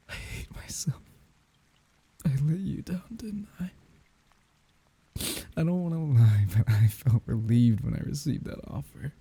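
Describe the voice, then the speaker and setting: A man playing a grieving lover saying farewell at a grave in an intimate ASMR roleplay, husky and breathy, halting and tender, often slipping into whispers. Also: mid-range voice, dark tone, exaggerated voice. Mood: anguished, tearful.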